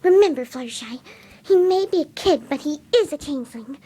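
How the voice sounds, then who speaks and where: High-pitched woman acting as a winged pony mare, timid, kind, soft-spoken, hesitant, tender, cartoon fan drama. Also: lively intonation, fairly quick. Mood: wary. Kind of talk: amateur cartoon fan-fiction dramatic reading.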